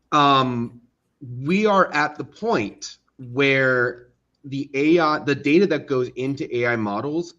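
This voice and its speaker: A man explaining things as an interview guest in a measured way, pausing to choose his words. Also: medium pitch, a bit bright. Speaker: audio drama creator, podcast guest. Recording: dull, muffled online call audio.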